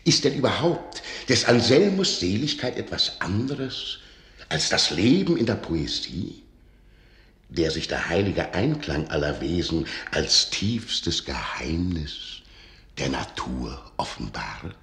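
Mysterious older archivist, very low male voice, courtly and teasing, radio drama, echoing room. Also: big pitch swings, slow, fairly bright tone, exaggerated voice. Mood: soothing, solemn. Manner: courtly, theatrical, playfully admonishing. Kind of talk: German radio play acting.

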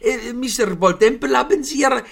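An actor playing an excitable, blustering bar boss in a radio drama, with a theatrical, stammering and exclaiming delivery and a husky, creaky voice. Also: male voice, very high voice, normal speed, very noisy. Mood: jovial, coaxing.

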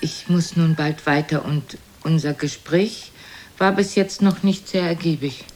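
A woman's voice: low-pitched, a little slow, darkish tone. An actress playing an imperious, blunt, aged mother who coolly belittles her son in a theatrical radio play. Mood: insistent, demanding. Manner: haughty, probing, theatrical. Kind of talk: German radio play acting.

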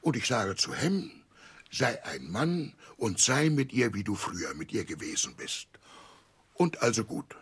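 A simple, rough old seafaring uncle in a 1960s radio play. The voice is deeply husky and a little low, moving slowly and haltingly. It rises and falls widely with proud, tender, overjoyed emphasis. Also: male voice, very animated, a bit bright, heavily acted. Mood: confiding, hearty. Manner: emotional, halting, emphatic storytelling with exclamations.

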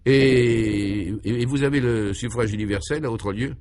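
A man's voice: medium pitch, moderate pace, a little mellow, slight background noise, close to an everyday voice. Man playing a courteous, inquisitive adventurer. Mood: probing. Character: polite, inquisitive male adventurer questioning his host. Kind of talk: comic radio serial dialogue.

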